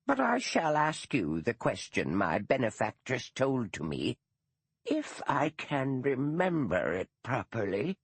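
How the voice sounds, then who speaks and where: A man voicing a stern, dour elderly woman, a retired schoolteacher who speaks slowly with prim, precise diction. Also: medium pitch, wildly swooping pitch, husky, dry recording, pitched above the performer's own voice, full character voice.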